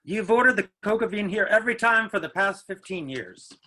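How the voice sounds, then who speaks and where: A man acts a middle-aged father in a stage play reading. His voice is high and bright, with a forceful edge. He is dry, commanding and sardonic. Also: lively intonation, brisk, heavily acted. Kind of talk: readers theatre play reading.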